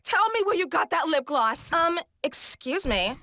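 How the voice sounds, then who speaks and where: A ditzy, snobby teenage Valley girl for a fighting game. She gushes and sneers in a Valley girl accent, with a bright, animated delivery and a dry, close sound. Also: very high-pitched, no echo, exaggerated voice. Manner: gushing, snooty, drawn-out slang. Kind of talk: video game character voice lines.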